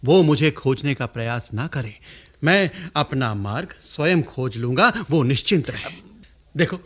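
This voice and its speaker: An actor playing a wise, gentle old master poet in a Hindi radio drama, speaking in a measured, stately, affectionately commanding way. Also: male voice, mid-range voice, expressive. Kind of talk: theatrical radio drama in formal Sanskritized Hindi.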